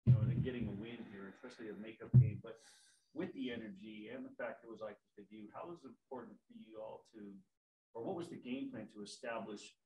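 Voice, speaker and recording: A man's voice: on the low side, fast talker, warm tone. Reporter guy asking from far off in the room, echoey, noisy background, rambling questions. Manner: long, winding questions. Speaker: news agency reporter. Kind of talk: asking questions at a press conference.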